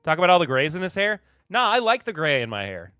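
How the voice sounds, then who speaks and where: A man's voice: on the high side, very animated, fast, bright, forceful tone, smooth, dry recording, studio quality, faint music behind. A man from Kentucky hosting a live podcast in casual chat, laid-back and chuckling as he reads and answers viewer comments. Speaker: podcast host.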